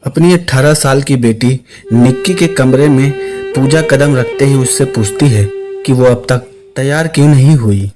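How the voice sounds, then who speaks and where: A man's voice: mid-range voice, measured pace. A man narrating a scripted suspense story, with music playing behind his voice. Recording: clear music under the voice.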